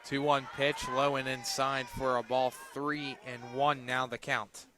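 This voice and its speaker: A man doing live play-by-play softball commentary for radio, with clipped, terse calls that lift on big plays. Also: mid-range voice, little pitch movement, unhurried, slight background noise. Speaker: play-by-play sportscaster.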